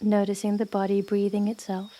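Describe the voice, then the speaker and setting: A woman guiding a yoga nidra meditation in a gentle, unhurried manner with long pauses. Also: medium pitch, completely flat delivery. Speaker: meditation guide. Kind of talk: guided yoga nidra meditation, soothing narration.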